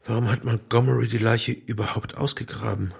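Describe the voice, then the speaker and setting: An actor playing a gruff, blunt police officer in an audio drama, with a husky, gravelly voice. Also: male voice, medium pitch, steady tone, fairly quick, full character voice. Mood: puzzled. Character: gruff, startled male police officer at crime scene.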